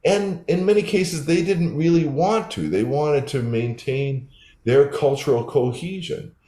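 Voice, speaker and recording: A man's voice: a little high, measured pace, mellow. An older man, a developmental psychologist, explaining his research in a thoughtful interview answer with frequent restarts. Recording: roomy echo.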